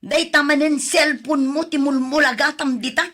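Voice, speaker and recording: A woman's voice: sits high, steady tone, fairly bright tone. A woman acting an exasperated, nagging but caring older grandmother in an Ilocano radio drama. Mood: exasperated scolding. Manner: nagging, sighing, drawn-out pleading.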